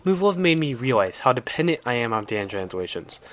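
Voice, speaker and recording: A man's voice: mid-range voice, very animated, brisk. A man narrating a scripted video game review as the reviewer.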